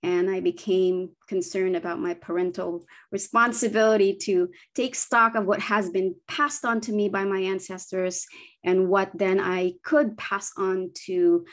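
A woman's voice: medium pitch, steady tone, unhurried. A woman in her forties from the Philippines, a Bisaya speaker, presenting her children's book press over a video call, earnest and thoughtful with frequent ums and self-corrections. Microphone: laptop webcam on video call.

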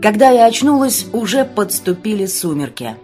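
A woman narrating an audiobook in a dramatic first-person style, expressive, with deliberate pauses. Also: medium pitch, soft background music.

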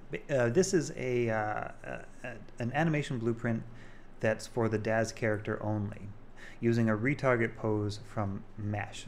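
Male developer for casual demo commentary; lowish pitch, halting delivery, noticeably noisy home recording. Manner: halting, thinking aloud. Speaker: game developer showing a project.

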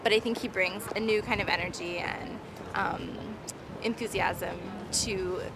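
A woman's voice: mid-range voice, fairly even intonation, a little slow, gravelly, over loud music, rough recording. A young woman in her twenties answering interview questions thoughtfully. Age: 20s. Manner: thoughtful, with fillers and restarts. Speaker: graduate student at rally. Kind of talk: street interview.

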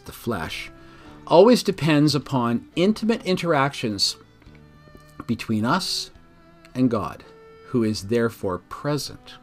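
A man speaking as a pastor, reading a devotional in a measured, earnest, formal way. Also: medium pitch. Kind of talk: devotional reading with reflective address to listeners. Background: background music.

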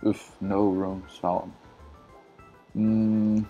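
A man from Brazil whose first language is Portuguese, chatting casually in halting English with many fillers and frequent laughs while streaming a game. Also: on the low side, level tone, warm tone, lo-fi sound, faint music behind. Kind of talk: casual live-stream commentary.

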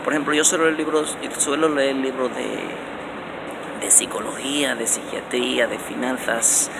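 Man, forties, motivational vlog, gravelly, animated emphasis, phone at arm's length, noisy underground station. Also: unhurried. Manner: animated and repetitive, many restarts, stressing words with rising pitch. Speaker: vlogger giving self-help advice. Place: indoor underground transit station, concrete walls. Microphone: phone camera held close at arm's length.

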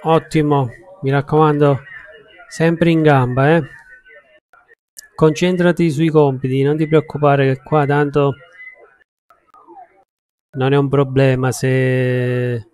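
A man chatting casually with viewers as he livestreams a game, haltingly thinking aloud. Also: mid-range voice, level tone, a little mellow, clear, resonant tone, rough recording. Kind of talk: casual chat with stream viewers while gaming.

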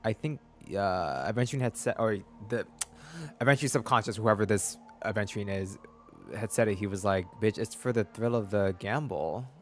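A man's voice: lowish voice, normal speed, soft background music. A man in his twenties giving slangy, chatty live-stream commentary on a game, close to the mic indoors.

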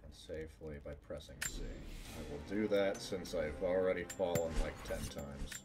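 A man's voice: extremely low pitch, fairly even intonation, normal speed. A man streaming a video game with casual, joking, sweary commentary, muttering to himself as he plays. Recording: clear background game noise.

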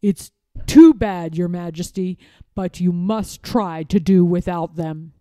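A woman's voice: fairly low pitch, slow, dark tone, no room sound, studio quality, voice pushed down. A woman voicing a scheming, bullying lord of a royal castle in a children's story, with a harsh, commanding manner. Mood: false solemnity.

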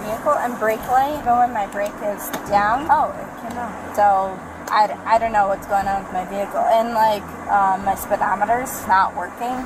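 A woman in her twenties speaks at a medium pitch, hesitant and rambling, like a driver explaining herself. She is caught on a body camera outdoors, with clear vehicle and roadside noise. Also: steady tone, moderate pace, hardly any pauses. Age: 20s. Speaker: driver pulled over by police. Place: roadside at night, in and beside a car. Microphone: officer's body camera. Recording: noisy outdoor police bodycam.

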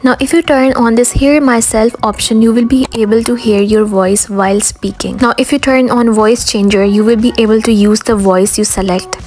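A woman with a fairly high voice presents a scripted software tutorial as its host. She guides the listener step by step, with music playing behind her. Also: fairly even intonation, moderate pace, hardly any pauses. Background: background music.

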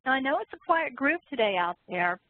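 A woman's voice: high-pitched, big pitch swings, brisk, no echo. Woman moderator on a phone-like line. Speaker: webinar moderator. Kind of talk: moderating a panel Q&A.

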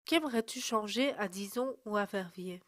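A woman reading a scripted voice-over and putting interview questions in a clear, measured way. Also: medium pitch, dry recording, clean studio sound. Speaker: narrator and interviewer.

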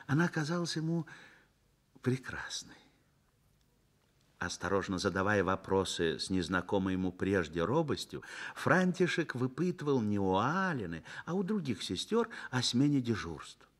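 A man narrating a Soviet radio play in a literary, dramatic style, with measured phrasing and expressive pauses. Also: fairly low pitch.

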